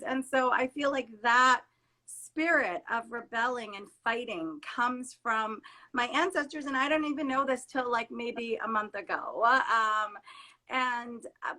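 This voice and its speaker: Guest therapist, woman from Trinidad, bright and fairly high voice, earnest, emphatic delivery, video call. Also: poor audio. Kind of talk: casual conversation on a live stream.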